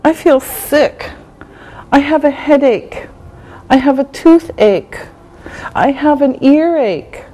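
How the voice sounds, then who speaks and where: A woman's voice: high voice, lively intonation, takes it slowly, long pauses, warm tone, poor audio. A woman teaching an English lesson to learners, drilling short phrases with patient repetition and careful enunciation. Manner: clear, carefully spaced enunciation.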